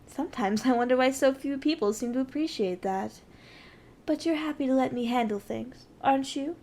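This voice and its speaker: Haughty evil queen, acted by a woman, higher-pitched. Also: normal speed, dark tone. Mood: smug, possessive. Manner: imperious, theatrical, mocking. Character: haughty evil sorceress queen, dominant, scheming and condescending. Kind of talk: ASMR roleplay acting.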